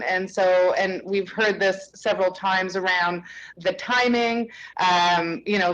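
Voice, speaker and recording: A woman answering as a conference panelist, loud and conversational, with frequent "um"s. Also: medium pitch, lo-fi sound. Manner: loud, self-aware, conversational with fillers.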